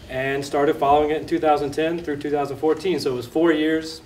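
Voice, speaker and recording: Man, hoarse, conversational Q&A answers, restarts sentences, roomy hall, distant from the mic. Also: a little high, fairly even intonation, fairly quick, a little mellow, a little hiss or hum. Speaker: film director at a Q&A. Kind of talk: post-screening Q&A answers. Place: large screening hall. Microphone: lost microphone, speaking unamplified. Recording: echoey hall, voice from far off.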